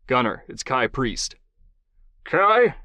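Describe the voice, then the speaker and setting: A man voicing an older male commander, a gruff old cowboy with a thick Southern drawl who swears freely. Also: mid-range voice, sing-song, slow pace, sharp tone, dry recording, clean studio sound, exaggerated voice. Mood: irritated.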